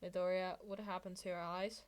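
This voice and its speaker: Woman reading as serious teen schoolboy, totally deadpan and blunt, story dialogue. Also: on the low side, moderate pace, natural voice. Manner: flat, blunt delivery.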